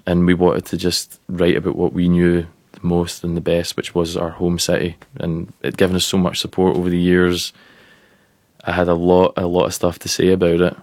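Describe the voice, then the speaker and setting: Man, glasgow accent, very deep monotone voice, relaxed rambling talk, dry close mic. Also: moderate pace, no echo. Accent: Glaswegian. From Scotland. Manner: relaxed, rambling, lots of "like" and "kinda". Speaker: musician guest. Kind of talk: casual interview chat.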